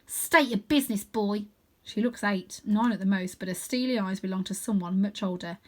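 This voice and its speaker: A woman reading a children's novel aloud in a dramatic, expressive storytelling style. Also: mid-range voice, brisk. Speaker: storybook reader.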